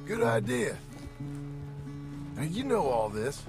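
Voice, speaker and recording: An actor playing a stern but caring former outlaw turned rancher father in a video game, gravelly and terse with rural plain speech. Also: a little high, big pitch swings, slow, over music. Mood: gruff coaching. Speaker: game character. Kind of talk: video game cutscene acting.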